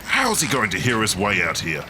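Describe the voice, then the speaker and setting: An actor playing a greedy, theatrical squid-boat skipper in a comic radio drama. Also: male voice, lowish voice, huge pitch swings, moderate pace, very noisy. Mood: scheming. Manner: theatrical, exclamatory.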